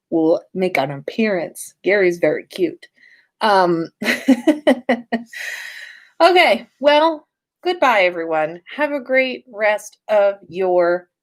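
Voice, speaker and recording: Expressive, playful woman reading and chatting. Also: medium pitch. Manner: giggly, playful, informal. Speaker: podcast host and story reader. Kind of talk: livestream story reading and casual chat.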